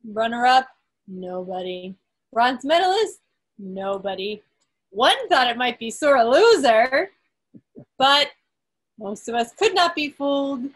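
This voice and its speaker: A woman hosting a quiz on a group video call, reading out results to the group with deliberate emphasis. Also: on the high side, big pitch swings. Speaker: quiz host at a club meeting.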